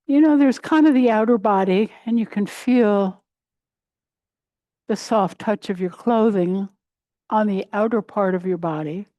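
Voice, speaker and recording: A woman teaching a guided meditation in a gentle, unhurried way, pausing between short phrases. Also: mid-range voice, no room sound, highs cut off. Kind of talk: guided meditation, body scan.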